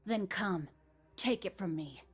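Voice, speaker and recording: An actress playing a guilt-haunted young warrior woman in a video game, weary yet defiant, with restrained, measured delivery. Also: mid-range voice, animated, husky. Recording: background music under game dialogue.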